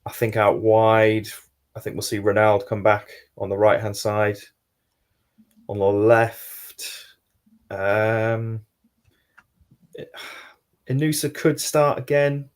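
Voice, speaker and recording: Geordie male guest, measured and thoughtful, lower voice. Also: steady tone, smooth. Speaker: guest pundit. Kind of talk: casual football podcast chat.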